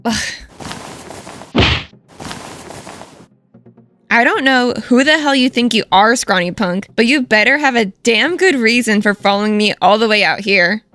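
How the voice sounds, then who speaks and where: A woman's voice: a little high, animated, normal speed, fairly bright tone. A woman voicing a fierce, hot-tempered teenage girl who leads a gang in a visual novel, curt, scornful and threatening. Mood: scornful, irritated.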